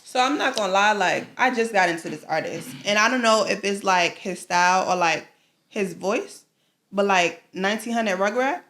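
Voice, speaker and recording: A young woman rapper from Queens with a raspy voice, talking loudly and playfully. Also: medium pitch, normal speed. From Queens, New York, USA. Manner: loud, playful, swaggering. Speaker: rapper guest. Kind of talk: casual interview chat.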